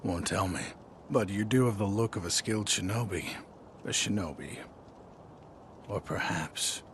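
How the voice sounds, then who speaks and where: An actor playing a weary, undying swordsman in a video game, solemn and formal with halting phrases. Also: sits low, a little hiss or hum. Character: weary, solemn undying male swordsman seeking death in battle. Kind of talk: video game cutscene acting.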